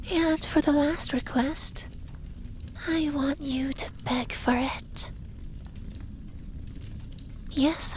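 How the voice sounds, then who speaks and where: A high-voiced, feminine-sounding dragon boyfriend acted by a man murmurs in a soft, breathy ASMR style. He takes his time, sounding possessive and stern yet caring. A faint but noticeable noise sits under the intimate roleplay recording. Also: heavily acted. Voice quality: soft, breathy, lapsing into whispers. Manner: commanding, teasing, tender.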